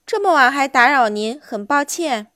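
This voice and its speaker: A little onion boy from a storybook, played by a woman, speaks courteously and in earnest. The voice is fairly high, with a dry, close sound. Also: expressive, normal speed, bright, forceful tone, no room sound. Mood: polite, earnest. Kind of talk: children's storybook character voice.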